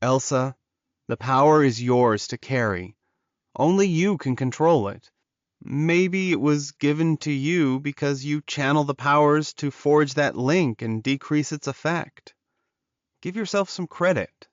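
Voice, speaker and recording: A man's voice: a little high, measured pace, no room sound, dull audio, lightly acted. Man voicing an earnest, lovelorn male werewolf. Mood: urgent encouragement. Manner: earnest, urging. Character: lovelorn, encouraging male werewolf urging his beloved onward. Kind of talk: audiobook character dialogue.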